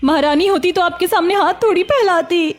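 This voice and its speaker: A woman voicing a hungry young beggar woman in a cartoon story, plaintive and quick to retort. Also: very high voice. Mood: sharp, cheeky. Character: hungry young beggar woman, pleading yet sharp-tongued. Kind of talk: Hindi cartoon story acting.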